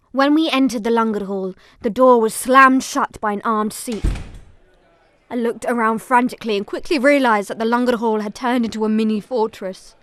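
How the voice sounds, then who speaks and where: A female actor as a grave storyteller in an audio drama. Her voice is fairly high and recorded very dry, and she narrates tragic events soberly and seriously. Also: a bit bright, dry recording, lightly acted.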